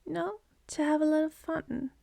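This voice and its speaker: A woman's voice: high-pitched, fairly even intonation, normal speed, gravelly, mellow, no echo. A woman voicing a sardonic, seductive demon in an ASMR roleplay, mocking and contemptuous, speaking close to the mic. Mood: teasing.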